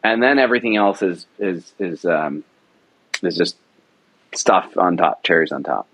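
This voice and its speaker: A man's voice: low voice, lively intonation, measured pace, a bit bright, slight background noise, low-quality recording. Earnest man talking about story craft. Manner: earnest, emphatic, repeats words while thinking. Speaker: audio drama producer. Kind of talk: passionate conversational interview.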